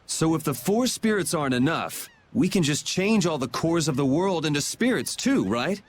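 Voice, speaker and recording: Casual, resolute young hero. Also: male voice, a little high, big pitch swings, normal speed, a little hiss or hum. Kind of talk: English video game voice acting.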